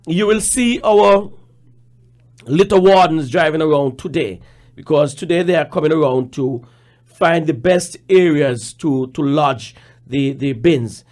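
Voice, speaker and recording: A man with a Caribbean accent, a manager speaking on a radio programme, explaining deliberately and repeating words for emphasis. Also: on the high side, darkish tone. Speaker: general manager and radio guest.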